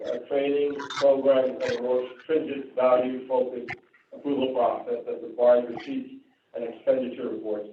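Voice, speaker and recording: Man with Indian accent on a tinny line. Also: medium pitch. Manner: reads from notes with frequent "uh" and restarts, adds casual asides. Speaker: board meeting presenter. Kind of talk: reading policy items at a public board meeting. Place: meeting room. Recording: distant, phone-like, roomy with faint hiss.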